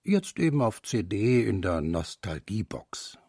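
A man's voice: on the low side, big pitch swings, unhurried, darkish tone, husky, dry recording. A man narrating a radio feature in a composed manner. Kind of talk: scripted narration.

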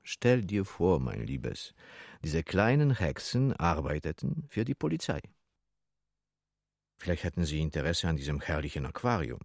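An actor playing a sly, rambling old Polish junk-shop dealer in a German-dubbed film thriller, evasive and wheedling. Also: male voice, extremely low pitch, big pitch swings, creaky, a little mellow, dry recording, studio quality, heavily acted. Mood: musing, wheedling. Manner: evasive, wheedling, drawn-out musing.

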